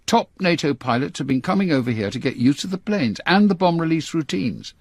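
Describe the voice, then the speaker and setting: Older british spy boss, english accent, clipped and bossy, bit raspy, very close dry radio play sound. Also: male voice, on the high side, lively intonation, fairly quick, a little mellow, no room sound. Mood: grave, brisk. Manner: clipped, commanding. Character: brisk, authoritative older male British intelligence chief. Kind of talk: radio drama acting.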